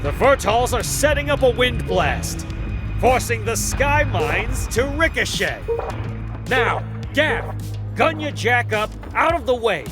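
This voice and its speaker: An actor playing a brash young American fighter pilot hero, urgent and commanding, in an animated adventure with music underneath. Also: male voice, very high voice, slow, full character voice. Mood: urgent, fierce.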